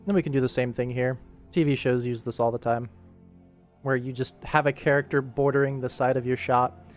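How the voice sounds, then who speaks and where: A man's voice: medium pitch, steady tone, fairly quick. Casual male streamer over music. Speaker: livestream host and animator. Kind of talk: casual livestream chat, answering viewers while working. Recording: music playing behind the voice.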